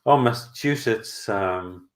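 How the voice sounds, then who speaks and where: A man's voice: on the low side, slow. A middle-aged man chatting casually at home on a live stream, answering viewers and talking in a hesitant, rambling way with lots of fillers and restarts. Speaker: channel host answering live chat.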